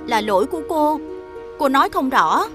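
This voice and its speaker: A woman playing a gentle, patient schoolteacher who explains things in a children's cartoon, with a Southern Vietnamese accent. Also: high voice, big pitch swings, normal speed. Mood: patient explaining. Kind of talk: animated children's cartoon dubbing. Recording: music behind the voice.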